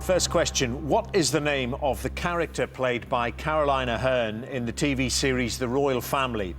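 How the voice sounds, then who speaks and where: A man's voice: mid-range voice, normal speed, background noise. A man hosting a television quiz show, upbeat and teasing, reading questions and introducing teams.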